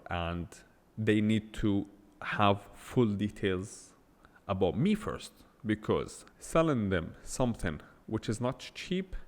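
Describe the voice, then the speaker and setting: A man's voice: lowish voice, big-room sound. A man hosting a solo podcast, talking without a script in a blunt, emphatic way and firing off rhetorical questions. English is not his first language. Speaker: podcast host. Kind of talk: unscripted solo podcast monologue.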